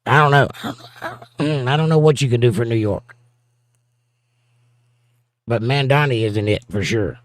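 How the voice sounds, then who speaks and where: A man's voice: medium pitch, moderate pace, long pauses, dry recording. Southern guy chatting on a livestream, easygoing, teasing, dry close sound, mid voice. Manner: laid-back, teasing, chuckles often. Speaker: livestream host reading chat. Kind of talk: casual chat.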